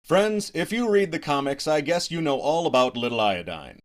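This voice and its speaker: Casting a hearty announcer, slightly high voice, lively intonation, pushing each line. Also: male voice, moderate pace. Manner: hearty, emphatic. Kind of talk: 1950s radio commercial reading.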